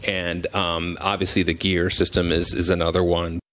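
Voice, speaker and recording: A man giving casual, halting interview answers as a game developer. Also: low voice, little pitch movement, a bit bright. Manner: halting, hedging with repeated words.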